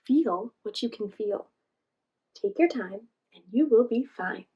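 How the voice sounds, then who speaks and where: A woman voicing a calm, caring female friend in a children's storybook, guiding gently. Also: on the high side, breathy edge, dull audio, exaggerated voice. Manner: gentle, slow guiding delivery.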